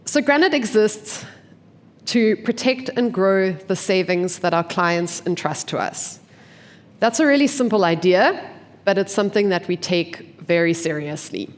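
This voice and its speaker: A woman from an investment team giving a conference talk. She sounds blocked up from a cold and explains clearly, often posing questions and then answering them. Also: mid-range voice, expressive, a little slow. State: congested from a cold. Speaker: investment team member presenting at a forum. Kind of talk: conference presentation. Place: conference venue. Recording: long hall reverb.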